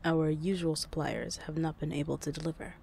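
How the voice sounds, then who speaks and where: An actor playing a loyal, composed assistant to a boss in an audio roleplay, speaking formally with a creaky voice. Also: medium pitch, level tone, brisk, a little mellow, a little hiss or hum, natural voice. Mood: formal, grave.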